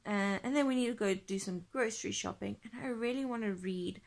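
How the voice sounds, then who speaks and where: A young woman in her twenties vlogging casually from bed, talking sleepily in a croaky, tired half-whisper into a phone held close. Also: mid-range voice, fairly quick, a little hiss or hum. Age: 20s. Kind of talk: casual vlog chat. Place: bedroom, lying in bed.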